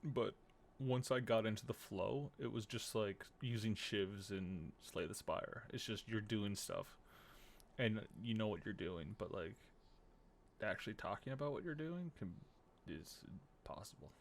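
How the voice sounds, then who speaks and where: Male streamer, casual play commentary, creaky lowish voice, faint noise behind. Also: measured pace. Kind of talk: casual live game commentary.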